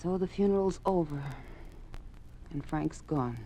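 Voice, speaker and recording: A woman's voice: low-pitched, measured pace, music in the background. An actress playing a soothing, motherly wife in a 1950s radio drama, on a noisy old recording. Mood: sorrowful. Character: soothing, motherly middle-aged wife and neighbour.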